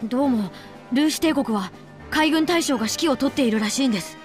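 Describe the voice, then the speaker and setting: Eager boy soldier, high pitch, flustered, earnest, game cutscene. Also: expressive, a little fast, faint music behind. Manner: earnest, stammering. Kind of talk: video game cutscene acting.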